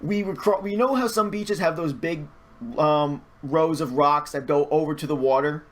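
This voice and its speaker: A man chatting casually as a podcast guest, telling stories in an animated way with stammered false starts and repeated words. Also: fairly high pitch, moderate pace. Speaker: podcast guest, hobby artist and fandubber. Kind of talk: casual chat, storytelling.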